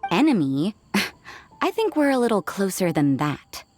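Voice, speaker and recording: A woman's voice: medium pitch, sing-song, measured pace, darkish tone, faint music behind. A woman playing a cool, composed, wry fighter with a teasing manner in a video game. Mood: amused, teasing.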